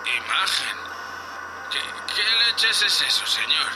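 A man's voice: a little high, sing-song, slow pace, music in the background, low-quality recording. A man with a Castilian Spanish accent playing a nervous, deferential young spaceship crewman in a sci-fi audio drama. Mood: puzzled, nervous. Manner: hesitant, anxious, deferential, full of questions.

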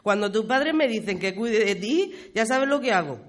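A woman's voice: a little high, animated, normal speed, natural voice. An older woman with an accent from Spain playing a weary, protective village grandmother in an amateur radio play. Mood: firm. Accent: Spanish, from Spain.

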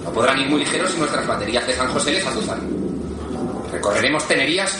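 An actor playing a resolute resistance leader chronicling a siege in grave, declarative radio-drama style. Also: male voice, medium pitch, animated, quick pace, background noise, natural voice. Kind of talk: dramatic radio narration and acting. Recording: very echoey, distant, loud music behind.

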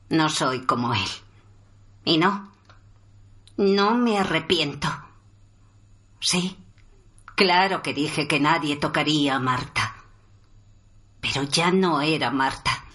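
An actress playing a grave, defiant woman survivor giving measured, firm testimony in a radio drama. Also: low voice, fairly bright tone.

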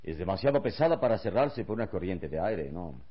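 An actor playing a calm, wise adventure hero in an old radio serial, speaking with measured, solemn deliberation. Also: male voice, sits low, husky, lo-fi sound, full character voice. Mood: calm, reassuring.

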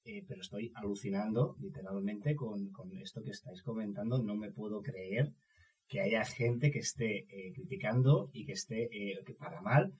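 A man giving his opinion casually on a panel, halting and incredulous, a little distant from the microphone. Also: fairly low pitch, a little mellow, breathy edge. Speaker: radio show panellist.

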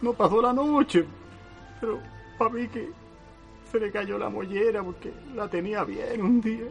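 A serene, grieving Chilean country man in a radio play. His voice sits high, and he speaks in a rural Chilean way, deferential and resigned, with some music behind him. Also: measured pace, gravelly. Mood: subdued sorrow. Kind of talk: radio drama acting.

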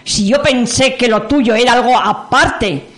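A woman's voice: a little high, a little slow, natural voice. A woman with a Spanish accent playing a hurt, reproachful businesswoman in an amateur radio soap opera. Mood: indignant. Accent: Spain. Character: hurt, reproachful businesswoman who feels betrayed by lover.

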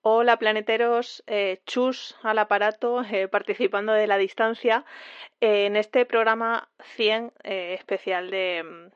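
A woman sending a recorded message to a podcast, speaking hesitantly with many pauses. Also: medium pitch, fairly even intonation, smooth, highs cut off. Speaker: podcast contributor.

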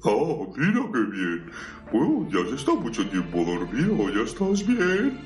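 A man's voice: mid-range voice, huge pitch swings, a little slow. A man voicing a fat, friendly, busy cook in an amateur parody audio drama, affable and sing-song. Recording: roomy, distant mic, music underneath.

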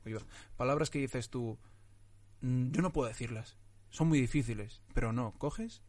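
A man's voice: medium pitch, moderate pace, a little mellow. Young man talking with constant restarts. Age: 20s. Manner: talks in restarts with many "o sea" fillers. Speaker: actor guest. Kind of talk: casual interview conversation.

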